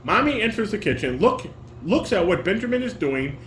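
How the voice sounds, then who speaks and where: A man's voice: high voice, very animated, normal speed, fairly bright tone. An older man, a father casually directing a table read at home, giving corrections and reading stage directions aloud. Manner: instructing, corrective. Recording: roomy home recording with clear background noise.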